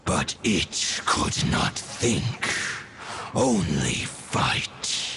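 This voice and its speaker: A man's voice: sits low, very animated, very slow, background noise, full character voice. A man voicing a proud, solemn ancient wolf god in a video game, speaking in a formal, archaic way. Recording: game audio with loud music.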